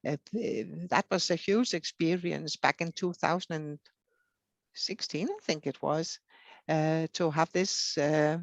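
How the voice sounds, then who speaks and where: A woman's voice: low-pitched, slow, vocal fry, dry recording. A woman whose first language is Danish, answering interview questions fluently as a federation secretary.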